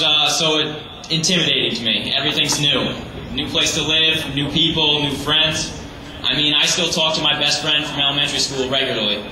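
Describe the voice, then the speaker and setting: A teenage boy giving an earnest, slightly halting graduation speech as salutatorian. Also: on the high side. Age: teens. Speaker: graduating student. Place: large hall or gym. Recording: echoey hall, far from the mic, noisy.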